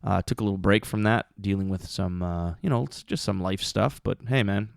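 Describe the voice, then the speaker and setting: A man's voice: low voice, brisk, creaky, no room sound, studio quality. A man hosting a podcast in casual chat, with a mousy voice that is still recovering from a show, rambling along with lots of "uh" fillers and the odd swear. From Canada. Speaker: podcast host.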